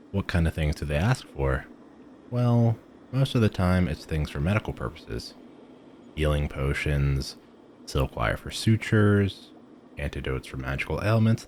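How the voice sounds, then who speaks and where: A man's voice: very deep voice, a little mellow, a little hiss or hum. A man playing a friendly, eccentric village alchemist shopkeeper in a fantasy audio roleplay, chatting eagerly to the listener with musing hums.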